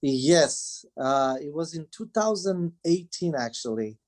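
A man's voice: on the high side, animated, takes it slowly, a little mellow. Hesitant Romanian-accented man in his forties. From Transylvania, Romania. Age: 40s. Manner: hesitant, many filler sounds. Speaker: guest, band manager and father. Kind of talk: casual interview chat.

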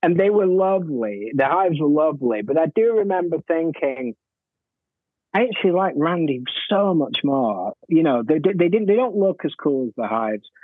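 A man with a slightly high voice speaks as a guest on a music podcast, eager and enthusiastic, often tripping over repeated words. He comes through a thin, phone-like remote line that sounds dry. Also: lively intonation, normal speed, no echo. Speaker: author and music journalist guest. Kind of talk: casual music chat.

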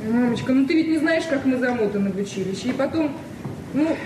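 Cheeky young female student, breezy, slangy; very high voice, echoey noisy radio drama. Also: animated, fast talker, recorded from a distance. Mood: offhand, defensive. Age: young adult. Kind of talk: radio play acting. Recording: echoey, noisy 1970s radio play recording.

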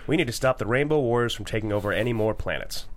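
A man's voice: medium pitch, lively intonation, fast, close to an everyday voice. A man playing a dim, smug, bigoted TV talk co-host, earnestly clueless, in a comedy table read.

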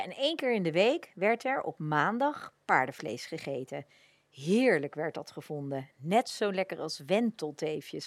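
A woman's voice: on the low side, no room sound. A woman playing a nostalgic older woman who reminisces chattily and colloquially about childhood in a radio play.

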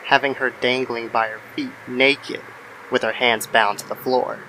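A man narrating a scripted horror story in a measured, somber manner. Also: medium pitch, fairly even intonation, bright, forceful tone, a little hiss or hum, rough recording. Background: music.

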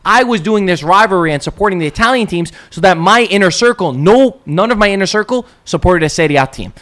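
A man's voice: sits high, animated, fast talker, a bit bright. A man co-hosting a casual chat, talkative and argumentative, laughing easily. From United States. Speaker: co-host.

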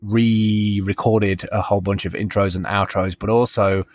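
Low-voiced man, casual podcast co-host. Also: normal speed. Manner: rambling, animated storytelling with self-interruptions.

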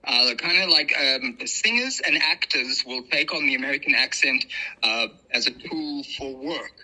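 A man's voice: mid-range voice, expressive, normal speed, sharp tone, low-quality recording. A man with a South African accent, chatting casually as a guest and explaining things with emphatic repetition. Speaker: podcast guest. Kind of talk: casual conversation.

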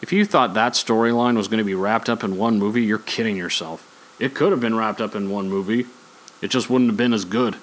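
A man's voice: on the low side, steady tone, fairly quick. Opinionated man chatting casually. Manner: rambling, opinionated, conversational. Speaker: podcast host. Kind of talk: casual opinionated podcast talk on sports and movies.

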